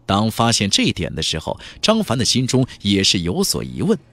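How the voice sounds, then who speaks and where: A man's voice: fairly low pitch, wildly swooping pitch, fairly quick, fairly bright tone, no room sound. A man narrating a fantasy novel as an audiobook, with a theatrical, emphatic storytelling delivery. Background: background music.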